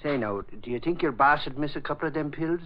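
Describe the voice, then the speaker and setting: An actor playing a brash, talkative and rather dim Irish reporter in an old radio drama, with folksy, blustering, ungrammatical talk. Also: male voice, a little high, fast, natural voice. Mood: chatty. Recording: muffled, noisy old radio recording.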